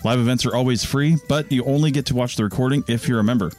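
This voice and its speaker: Male podcast host, medium pitch, scripted promo read, music behind. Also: fast, darkish tone. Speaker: podcast network founder and host.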